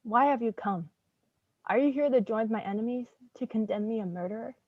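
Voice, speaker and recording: A woman's voice: fairly high pitch, brisk, no echo, muffled recording, natural voice. A woman playing a wrongly condemned young woman in a staged gothic drama, wounded, defiant and pleading. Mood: bitter.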